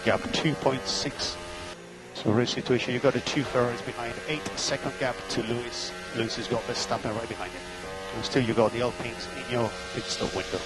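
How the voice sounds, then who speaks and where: A man's voice: medium pitch, steady tone, fairly quick, loud music behind the voice, low-quality recording. A man working as a race engineer, giving clipped, matter-of-fact instructions and gap updates over team radio, often repeating key points.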